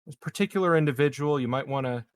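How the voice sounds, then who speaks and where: A man playing a tabletop roleplaying game, improvising casual banter in a halting way. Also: fairly high pitch, no room sound, studio quality.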